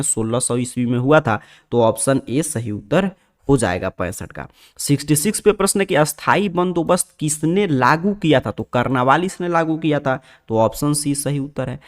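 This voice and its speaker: Male exam-prep teacher, Bihari accent, slightly high voice, methodical question-then-answer delivery. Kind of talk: exam question-and-answer walkthrough, explanatory lecture.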